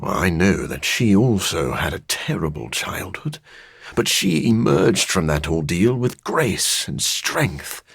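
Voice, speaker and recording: An actor voicing a brooding, dryly witty gentleman vampire scientist in a video game, with a formal, measured, theatrical delivery. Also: low-pitched, very animated, creaky, breathy edge, no echo, studio quality, exaggerated voice. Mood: earnest, wistful. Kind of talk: scripted video game character lines.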